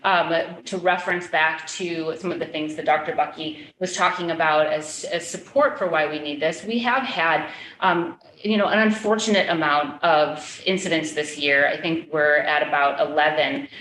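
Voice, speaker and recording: Woman, school committee chair, earnest, halting with fillers, lower voice, roomy echo. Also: steady tone, a bit bright. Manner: earnest, with many fillers and restarts. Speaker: school committee member chairing a public forum. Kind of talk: public meeting, answering questions.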